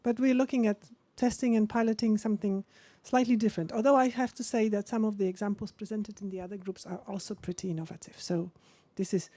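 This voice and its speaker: A woman's voice: mid-range voice, fairly even intonation, fast, few pauses, warm tone, no room sound. A woman moderating a policy workshop session, friendly and rambling, with frequent restarts. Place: conference room.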